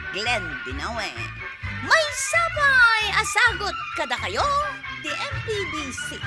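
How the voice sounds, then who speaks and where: A woman with an Ilocano accent reading scripted credits as a radio drama announcer. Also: very high-pitched.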